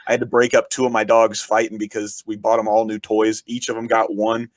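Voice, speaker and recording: A man, a podcast guest who is also a podcast host, talking in rambling, enthusiastic casual chat, his voice raspy from shouting. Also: fairly low pitch, completely flat delivery, a little fast, dry recording. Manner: rambling, enthusiastic, frequent swearing.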